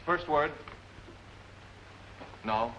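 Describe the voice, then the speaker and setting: A man as a game show contestant, calling out rapid excited guesses and banter. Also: fairly high pitch, heavy background noise. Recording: muffled 1950s TV sound, studio crowd.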